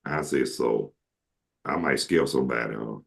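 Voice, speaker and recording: Very deep, gravelly man. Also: moderate pace. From Atlanta, Georgia. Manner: joking, slips into comic impressions. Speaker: show host. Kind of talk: casual storytelling chat.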